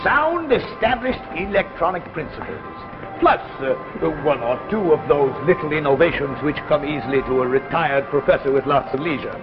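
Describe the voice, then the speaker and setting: A man's voice: on the high side, lively intonation, normal speed, music in the background, lightly acted. Male actor playing elderly inventor professor, pompous, smug, swooping intonation, 1950s radio drama, muffled echoing recording. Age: older. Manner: pompous, theatrical, savoring phrases. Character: retired physics professor, older man, smug eccentric inventor. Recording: dull old radio recording, long reverb.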